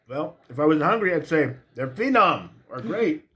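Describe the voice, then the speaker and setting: A man's voice: on the high side, sing-song, moderate pace, fairly bright tone, a little hiss or hum. A man co-hosting a home cooking video, tasting the food and giving a laid-back, joking verdict. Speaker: co-host.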